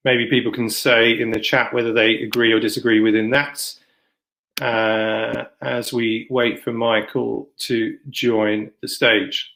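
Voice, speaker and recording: A man hosting an online event in a casual, unscripted way, with halting, informal delivery. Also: mid-range voice, flat delivery, vocal fry, a bit bright, poor audio. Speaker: event host and moderator. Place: online video session. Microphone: computer microphone, plugging in another mic partway.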